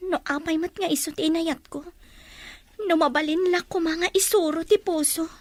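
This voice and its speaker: Woman playing a pining teenage girl, very high voice, insistent, lovesick, Ilocano Filipino accent, audio drama. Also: fairly even intonation, normal speed, dry recording. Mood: defensive.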